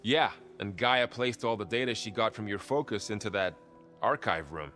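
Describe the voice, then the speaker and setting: Young game warrior, fairly low voice, calm, loyal, sincere, thoughtful, natural delivery. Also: male voice, normal speed, soft background music. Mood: sincere, easygoing. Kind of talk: naturalistic video game cutscene acting.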